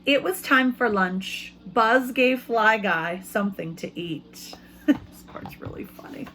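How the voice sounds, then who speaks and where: A woman speaking as an elementary school teacher, reading aloud to children in simple, expressive language and putting questions to them. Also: a little high, slow pace, a little hiss or hum.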